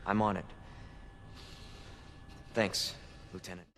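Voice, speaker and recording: An actor playing a young rookie cop in a video game cutscene, earnest, determined and dutiful. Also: male voice, sits low, level tone, slow pace, fairly bright tone, a little hiss or hum, full character voice. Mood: hopeful. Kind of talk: cinematic game cutscene acting.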